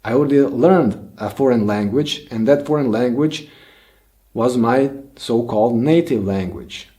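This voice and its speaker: A man whose first language is Bosnian hosts his own channel, musing aloud in a slow, unhurried way. His voice is dark and mellow, with frequent "uh"s and restarted sentences as he thinks things through. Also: medium pitch. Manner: slow, thoughtful, many fillers and restarts. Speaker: channel host. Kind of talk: reflective solo monologue.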